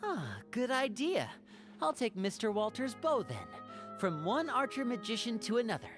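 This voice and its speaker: Archer mage, decisive, lively pitch, music under. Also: female voice, moderate pace. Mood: resolute. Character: polite, decisive young woman archer mage. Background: game music.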